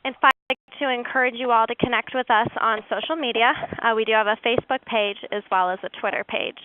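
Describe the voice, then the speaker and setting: Webinar host, woman, higher voice, steady explainer, dry phone-quality audio. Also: quick pace. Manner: steady, informative, occasional fillers. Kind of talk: webinar presentation. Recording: dry tinny phone-like line.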